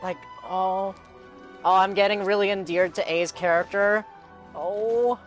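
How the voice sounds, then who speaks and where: High-pitched male streamer over game music. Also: steady tone, unhurried. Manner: informal, earnest, repeats himself. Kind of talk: casual live reaction commentary.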